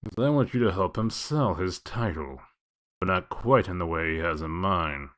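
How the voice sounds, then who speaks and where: Low-voiced, gruff, sardonic detective played by a man. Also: normal speed, fairly bright tone. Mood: wry. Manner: dry, wry, evasive. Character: gruff, sardonic male detective of exiled fables. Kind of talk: comic dub character acting.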